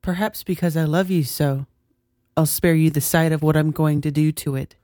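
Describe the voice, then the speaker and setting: A man voicing a tall, bitter young woman in a soft, tense, resentful voice. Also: a little high, steady tone, moderate pace, no room sound, clean studio sound, pitched above the performer's own voice, lightly acted.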